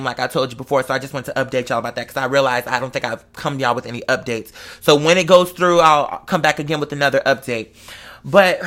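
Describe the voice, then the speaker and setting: High, bright-voiced man, emphatic and slangy. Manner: rambling, digressive. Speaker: online show host. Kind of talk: casual confessional chat to followers.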